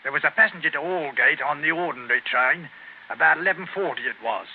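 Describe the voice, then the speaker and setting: Man acting creaky railway man. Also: fairly high pitch, fast talker, lightly acted. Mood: matter-of-fact. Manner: deferential, folksy. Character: obliging working-class railway man, respectful and plain-spoken. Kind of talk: 1930s radio drama acting. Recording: scratchy, tinny 1930s radio recording.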